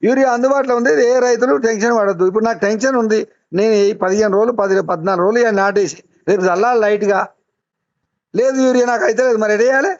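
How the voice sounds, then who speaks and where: A man's voice: high voice, very fast, no echo. A man with a Telangana accent making a heated, insistent complaint as a farmer on a phone call, repeating his demands argumentatively. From Telangana, India. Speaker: farmer caller complaining to an official. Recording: dull lo-fi phone call recording.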